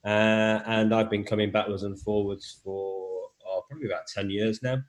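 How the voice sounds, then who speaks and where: A man's voice: lowish voice, steady tone, moderate pace. A middle-aged man from England with a faint English accent, answering interview questions with many fillers and restarts. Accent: English, softened by years in Australia. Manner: hesitant, many fillers and repeated words. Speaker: interview guest, men's health advocate.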